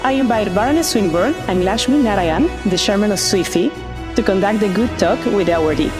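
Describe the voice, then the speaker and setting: An announcer reading a scripted award citation in a formal, even way. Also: medium pitch, a little fast. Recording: announcement over clear music.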